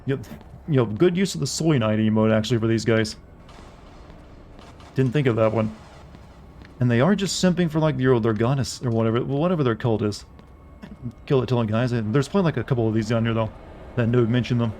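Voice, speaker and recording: A man streaming a game, chatting wryly with joking asides, his voice a bit raspy. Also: mid-range voice, brisk, long pauses, mellow, slight background noise. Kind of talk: live gameplay commentary. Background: faint game music.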